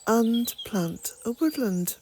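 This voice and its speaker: An Irish woman narrating a gentle garden vlog in a soft, reflective way, sometimes dropping almost to a whisper. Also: on the high side, lively intonation, slow, slight background noise. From Ireland. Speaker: vlogger. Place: outdoors in a woodland garden, birds nearby.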